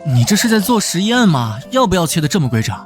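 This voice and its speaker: A male actor voicing an earnest, good-natured, easily flustered police detective in an animated drama. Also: high voice, fast, soft background music. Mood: awkward, musing. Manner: conciliatory, earnest.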